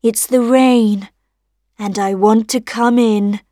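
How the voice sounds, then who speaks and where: Storybook role: sad little rain played by a woman, high, soft, pleading voice, very unhurried. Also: fairly even intonation, no echo, studio quality. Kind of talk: children's storybook character voice.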